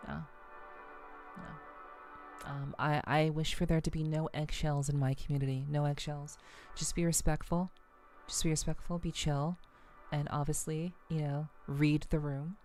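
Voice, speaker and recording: A woman livestreamer chatting casually to viewers in an ASMR style, with a soothing voice and a relaxed, halting, unhurried delivery. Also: low-pitched, soft background music. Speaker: VTuber livestream host.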